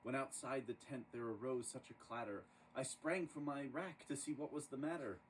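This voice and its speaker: A man reading a rhyming children's story aloud in an expressive, dramatic storytelling manner. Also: medium pitch, normal speed. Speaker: storybook reader. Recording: distant microphone with clear background noise.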